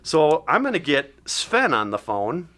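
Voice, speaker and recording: A man hosting a comedy podcast, telling stories in a rambling, animated way. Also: a little high. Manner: rambling, quick, animated storytelling. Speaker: podcast host. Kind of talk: casual comedic banter. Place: basement studio.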